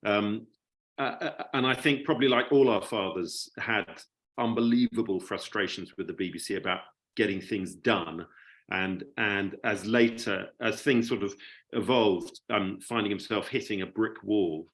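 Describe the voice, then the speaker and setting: A man speaking as a guest, giving an informal, reminiscing talk in a halting way with repeated words. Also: lowish voice, a bit bright. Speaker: guest speaker, writer and television producer.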